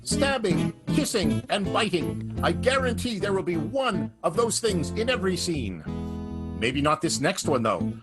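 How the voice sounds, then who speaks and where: Male radio soap narrator, high voice, very lively intonation, loud guitar underneath. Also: unhurried.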